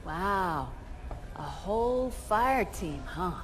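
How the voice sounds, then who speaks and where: A woman's voice: mid-range voice, lively intonation, extremely slow, background noise. Game film casting: assured female officer, cool and wry, taking her time. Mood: wry, teasing. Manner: cool, teasing authority. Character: confident, wry female military commander. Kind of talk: cinematic game acting. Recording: music behind dialogue.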